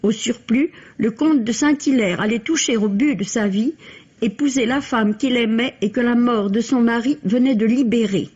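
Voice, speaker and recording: A woman's voice: fairly high pitch, moderate pace, darkish tone, slightly rough. A woman reading a scripted literary review on the radio, with formal, elegant phrasing. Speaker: book reviewer. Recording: dull, lo-fi vintage radio recording.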